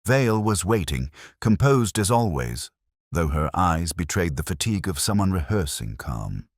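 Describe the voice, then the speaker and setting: Guy doing a brooding detective narrating a mystery, really low voice, solemn and measured, pitch moves around a lot. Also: very animated, no room sound, studio quality. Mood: melancholy, reflective. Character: melancholy, brooding male English police detective narrator. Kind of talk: scripted first-person detective story narration.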